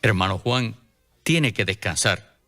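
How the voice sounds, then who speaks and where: An actor playing a worried, insistent friar, firm and caring, in a religious radio drama. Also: male voice, lowish voice, expressive, a little slow, sharp tone, heavily acted. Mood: worried, scolding. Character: worried, insistent friar scolding his sick brother.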